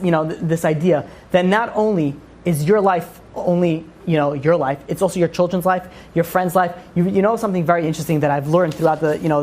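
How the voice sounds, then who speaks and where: A woman's voice: lowish voice, a little mellow. A woman giving an informal religious lecture to a group, emphatically asking questions and answering them herself. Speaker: religious class teacher.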